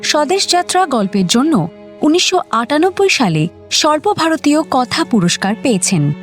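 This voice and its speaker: প্রাণবন্ত, উঁচু গলার মহিলা উপস্থাপিকা. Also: female voice, high voice, sing-song, normal speed. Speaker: radio story presenter. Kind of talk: scripted radio introduction. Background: background music.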